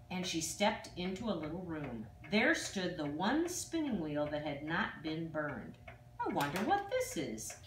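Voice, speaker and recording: An older woman reads a children's fairy tale aloud, with expressive, dramatic emphasis on exclamations. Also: fairly low pitch. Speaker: storyteller. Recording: distant, echoey room with faint noise.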